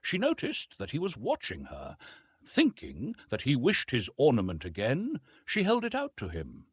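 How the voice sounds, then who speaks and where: A man reading an adventure novel as an audiobook narrator, in a measured, literary and expressive manner. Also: medium pitch, slightly rough, no room sound.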